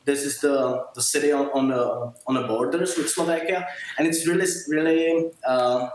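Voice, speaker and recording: Halting Czech man in a very echoey room. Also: mid-range voice, steady tone. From Moravia, Czech Republic. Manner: halting, hesitant, searching for words. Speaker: filmmaker being interviewed. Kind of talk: interview answers in non-native English. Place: remote video call from a living room. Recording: very roomy, echoey video call.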